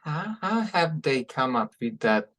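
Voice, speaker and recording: A man from Hungary hosts this conversation comes through clearly. He talks at an unhurried, deliberate pace in a middle pitch, restarting phrases and repeating words as he works his way toward each question. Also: very animated, a bit bright. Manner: halting, repeats words while forming questions. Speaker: podcast host. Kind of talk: interview questions.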